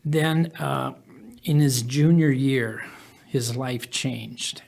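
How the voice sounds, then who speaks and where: A mature man speaks as an interview guest. His pitch is average for a man, and he tells his personal story in measured phrases, hesitating and restarting as he goes. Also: creaky, darkish tone, a little hiss or hum. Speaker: podcast guest, author.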